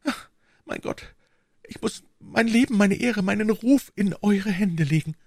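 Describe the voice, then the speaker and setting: A man's voice: high voice, measured pace, a little mellow, no room sound, clean studio sound, voice pushed up. A man voicing an anguished, fearful queen who exclaims breathlessly and dramatically in a storybook reading. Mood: despairing. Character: anguished queen, high-pitched, fearful and imploring.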